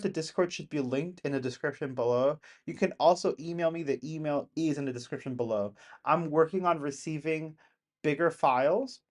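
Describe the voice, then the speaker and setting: A person in their thirties chatting casually with live-stream viewers from home in the countryside, rambling and laughing often. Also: mid-range voice. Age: 30s.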